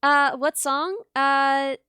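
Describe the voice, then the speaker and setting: A young woman streamer chatting casually, hoarse and losing her voice, rambling and giggling at herself. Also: high voice, flat delivery, drawn-out words, bright, forceful tone, no room sound, clean studio sound. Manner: rambling, giggly, self-mocking. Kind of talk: casual chat with live chat. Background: music playing.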